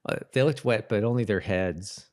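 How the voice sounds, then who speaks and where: A man's voice: lowish voice, normal speed, darkish tone. A middle-aged man co-hosting a podcast, chatting casually and often repeating and rephrasing himself as he gives his take. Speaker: podcast co-host. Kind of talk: casual chat reviewing a TV episode.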